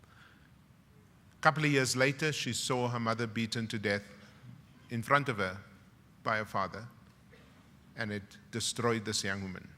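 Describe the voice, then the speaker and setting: A middle-aged man with a South African English accent delivers a ceremonial graduation speech, telling personal stories with dry humor and sudden drops into hushed emotion. His voice is bright and forceful. It rings out through a large hall with a long reverb. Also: on the low side, a little slow, pauses often. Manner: dramatic storyteller, swings from loud punchlines to hushed emotion, wry humor. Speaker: university professor speaking at a graduation.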